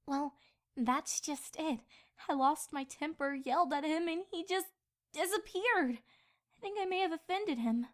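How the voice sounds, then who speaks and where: A woman's voice: sits high, a little slow. A woman voicing an earnest, easily flustered young female pony princess in a fan fiction audio drama. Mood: troubled, regretful.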